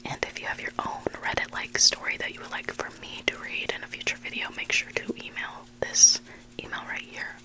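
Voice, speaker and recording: Hushed whispering ASMR storyteller, sex indistinct. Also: low-quality recording. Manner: hushed, deliberate, pausing between short phrases. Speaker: ASMR host reading submitted online stories.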